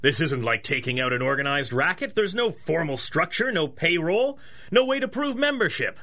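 An actor playing a blunt, exasperated 1930s police chief in a radio drama, speaking emphatically. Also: male voice, a little high, sing-song, normal speed, bright, forceful tone. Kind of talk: old-time radio drama acting.

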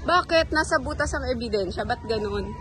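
A woman's voice: fairly high pitch, moderate pace, a loud crowd. Gushing Filipino woman vlogging by phone. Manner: gushing, excitable, mixes English into Tagalog. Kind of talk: casual travel vlog. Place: outdoors at a town plaza and market. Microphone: phone held at arm's length.

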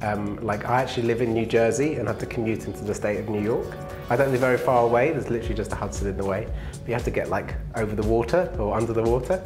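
A man in his thirties from England, answering interview questions in a relaxed, easygoing way with plenty of fillers, on a lapel mic in an indoor lounge. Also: lowish voice, fast talker, hardly any pauses, over music. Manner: relaxed, laughs easily, many fillers. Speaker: interview guest, engineering manager.

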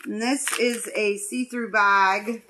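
A woman's voice: medium pitch, steady tone, slow. A middle-aged woman hosting a vlog, chatting unhurriedly to viewers while sorting through a storage unit. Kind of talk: casual narration to viewers. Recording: clattering noise of handled items.

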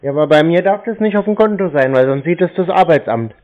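A man's voice: on the high side, lively intonation, fast talker. A man in a casual phone conversation, giving short, naive-sounding replies. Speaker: prank caller posing as an interested customer. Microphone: phone call. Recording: tinny narrowband phone line.

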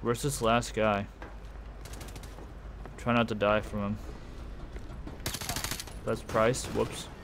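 A man's voice: lowish voice, little pitch movement, slow, creaky, long pauses, background noise. A man giving casual, slangy commentary as he plays a video game, grumbling in exasperation. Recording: game gunfire behind the voice. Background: shooter game gunfire and military chatter.

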